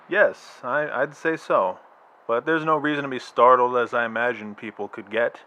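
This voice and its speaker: An actor playing a male astronomer who explains things in a measured, slightly hesitant way. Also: medium pitch, pushed and bright, muffled recording, close to an everyday voice. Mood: reassuring. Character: calm, reassuring male astronomer.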